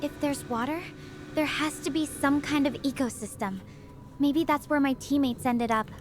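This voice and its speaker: A woman's voice: sits high, moderate pace, faint music behind, close to an everyday voice. A woman voicing a stranded young researcher on an alien world, earnest and thoughtful as she talks through her situation over a video call. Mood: determined. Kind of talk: conversational audio drama over a video call.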